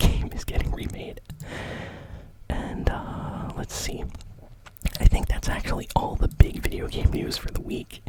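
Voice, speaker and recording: An ASMR host whispering gaming news in a rambling, informal way.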